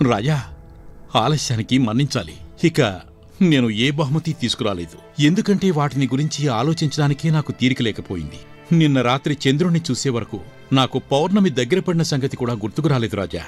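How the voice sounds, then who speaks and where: A man's voice: medium pitch, expressive, fairly quick, soft background music, close to an everyday voice. Man playing an earnest, humble young prince. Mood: apologetic. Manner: respectful, formal storytelling. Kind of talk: dramatised Telugu folk tale.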